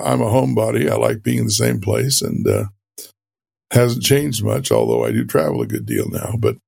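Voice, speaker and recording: Older man in his sixties, very gravelly voice, hesitant talk full of fillers. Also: on the low side, darkish tone. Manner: measured, hesitant with many fillers. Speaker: guest. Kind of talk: conversational interview answers.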